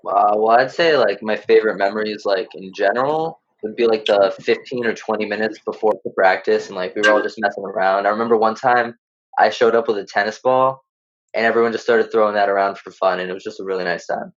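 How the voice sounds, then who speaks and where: A man's voice: fairly low pitch, fast talker. A teenage male student athlete chatting casually as an interview guest. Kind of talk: casual chat. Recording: dull, muffled sound.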